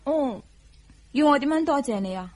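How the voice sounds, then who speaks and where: An actress playing a gentle, coy young wife who keeps the peace, in a Hong Kong Cantonese radio drama. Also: female voice, high-pitched, animated, moderate pace. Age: young adult.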